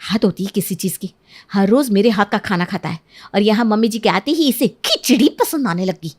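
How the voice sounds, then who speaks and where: A woman's voice: medium pitch, animated, brisk, lightly acted. A woman voicing a jealous, resentful young daughter-in-law in a cartoon story, indignant and complaining. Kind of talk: Hindi cartoon story acting.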